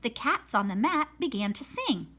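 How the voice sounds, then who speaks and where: A woman reading a children's picture book aloud in a slow, expressive storytelling manner. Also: on the high side. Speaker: storybook reader. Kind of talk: children's read-aloud narration.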